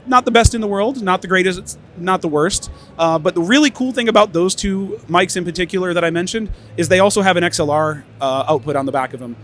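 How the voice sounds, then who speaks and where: A man's voice: sits high, fast, few pauses, a bit bright, slight background noise. A man presenting at an audio trade expo in a casual conference-talk manner, voice a bit tired from many interviews, with lots of fillers and restarts. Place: expo stage.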